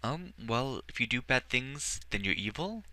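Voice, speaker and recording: A man's voice: medium pitch, animated, a little slow, bright, forceful tone, pitched above the performer's own voice. A man voicing an earnest, emotional young boy in a storybook reading, eager and wavering.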